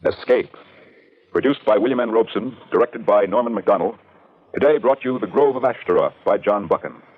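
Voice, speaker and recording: A man's voice: lowish voice, a little fast, a little hiss or hum. A man announcing credits in the formal style of 1940s radio. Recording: tinny, narrow old radio recording.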